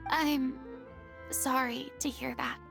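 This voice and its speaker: A high, young female game character, grand and theatrical yet wounded underneath. Her voice has a creaky edge, and she speaks formally, like stage royalty, with music underneath. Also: level tone, slow pace, a bit bright, exaggerated voice. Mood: subdued, sincere. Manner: theatrical, formal, pompous phrasing. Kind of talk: video game voice acting.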